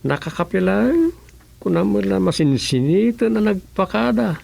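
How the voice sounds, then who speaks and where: Gossipy ilocano councilor in a radio comedy, kinda high creaky voice, chatty. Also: male voice, very animated, normal speed, darkish tone, full character voice. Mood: chatty, bantering. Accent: Filipino, Ilocano. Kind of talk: comic radio drama dialogue.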